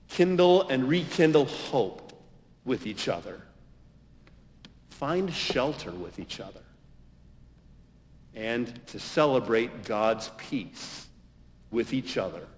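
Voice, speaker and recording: A man preaching a homily as a pastor, with emphatic, deliberate phrasing and dramatic pauses. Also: medium pitch, slight background noise. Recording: dull, muffled sound with long reverberation.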